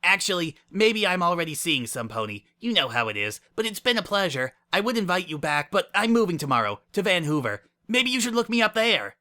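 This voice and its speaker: A man's voice: sits high, big pitch swings, a little fast, hardly any pauses, bright, forceful tone, pitched above the performer's own voice, heavily acted. A man voicing a flustered, anxious young female pony with a hesitant, awkward manner in a dramatic story reading. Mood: hurried, evasive.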